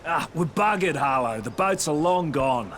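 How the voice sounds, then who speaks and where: An actor playing a gruff, impatient captain in a video game, with a blunt, weary, slangy way of talking. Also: male voice, a little high, animated, moderate pace, a bit bright, slight background noise. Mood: frustrated. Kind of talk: video game cutscene acting.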